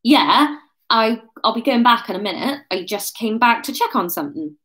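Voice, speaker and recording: A flustered West Country man from a storybook, acted out by a woman. The voice is high and swings widely, excitable and indignant, always on the defensive. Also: moderate pace.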